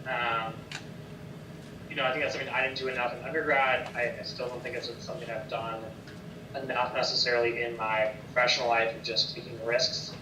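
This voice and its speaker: A man's voice: medium pitch, flat delivery, fairly quick. A young man from Wisconsin with an American accent, sharing informal advice and personal anecdotes as a panelist. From Wisconsin, United States. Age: young adult. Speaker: panelist advising students. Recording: echoey, distant, noisy background.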